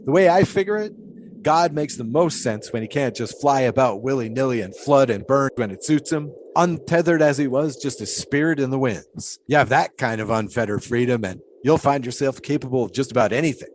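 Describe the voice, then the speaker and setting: An actor playing a pious, philosophical 1920s flagpole sitter who talks in a folksy, preachy way. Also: male voice, mid-range voice, brisk, a little hiss or hum, lightly acted. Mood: musing. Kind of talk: audio drama acting.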